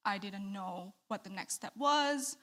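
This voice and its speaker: Woman, thirties, soft-spoken, candid, mid-pitched voice. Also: a little slow. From Malaysia. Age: 30s. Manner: soft-spoken, candid, laughs between thoughts. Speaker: church member giving testimony. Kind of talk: interview testimony.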